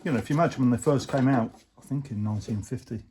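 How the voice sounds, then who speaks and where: A man, casually explaining a motorcycle repair as he works, in a relaxed, rambling way with plenty of fillers. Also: mid-range voice, rapid-fire, a little hiss or hum. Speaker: motorcycle restorer, channel host. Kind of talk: casual workshop talk-through. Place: workshop or shed.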